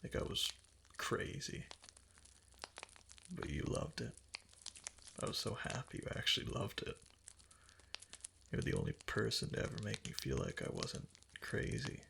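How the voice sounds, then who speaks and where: Male actor as kitsune husband for ASMR roleplay: very low, swooping intonation, breathy and gravelly, tender yet obsessively devoted. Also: huge pitch swings, noisy background, exaggerated voice. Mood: tender, adoring. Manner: tender, halting, cooing endearments. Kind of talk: intimate roleplay ASMR, soft and partly whispered.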